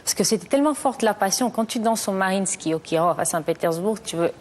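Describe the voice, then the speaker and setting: A woman's voice: mid-range voice, expressive, fast, slight background noise. A woman in her thirties from Italy, a talk show guest who speaks earnestly and hesitantly, often restarting her sentences. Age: 30s.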